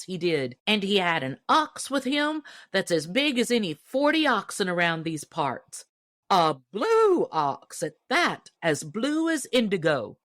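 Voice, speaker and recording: Woman playing an excitable backwoods hunter, loud and high-pitched. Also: no echo, clean studio sound, exaggerated voice. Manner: shouting angrily, folksy backwoods phrasing. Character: excitable, indignant male hunter, boastful and breathless. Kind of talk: storybook character voice in audiobook reading.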